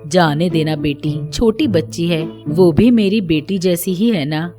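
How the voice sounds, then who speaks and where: A woman's voice: on the low side, measured pace, over music, voice pushed down, natural voice. A woman voicing a patient, forgiving middle-aged mother-in-law with an indulgent manner. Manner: soft-tempered, indulgent.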